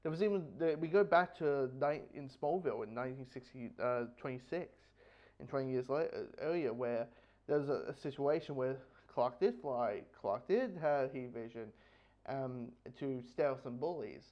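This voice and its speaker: A man's voice: medium pitch, moderate pace. A man giving a casual spoken comic book review, rambling and repeating phrases as he goes. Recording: dull, lo-fi audio.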